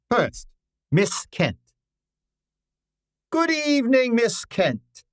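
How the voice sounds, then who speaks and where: A man playing a commanding, fussy detective genius in an old-time radio drama, speaking formally and deliberately with pompous authority. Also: very high voice, wildly swooping pitch, dry recording, clean studio sound, heavily acted. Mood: imperious.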